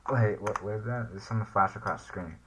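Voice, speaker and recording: Bright-voiced man reacting casually. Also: lowish voice, fast talker, a little hiss or hum, poor audio. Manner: hesitant, informal, thinking aloud. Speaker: YouTube reaction host. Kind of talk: casual reaction commentary, reading screen text aloud.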